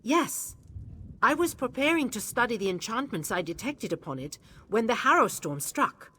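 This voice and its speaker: Casting: weary, shaken court mage for a fantasy game; highish breathy voice, very expressive. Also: female voice, moderate pace, exaggerated voice. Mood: puzzled, thoughtful. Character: exhausted, anxious woman court mage, loyal and shaken. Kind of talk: fantasy video game voice acting. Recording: faint music behind the voice.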